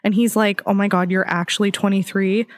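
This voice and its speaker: A woman hosting a podcast, laid-back and quick to laugh, summing things up with quips. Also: mid-range voice, level tone, no room sound, clean studio sound. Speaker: podcast host. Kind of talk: casual chat.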